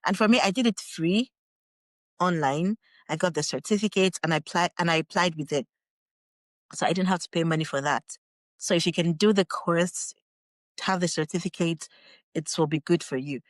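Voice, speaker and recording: A woman's voice: fairly low pitch, moderate pace, no echo. Nigerian woman, dry close podcast mic. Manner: laughs often, relaxed storytelling. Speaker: guest, English teacher in Japan. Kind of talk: casual podcast interview.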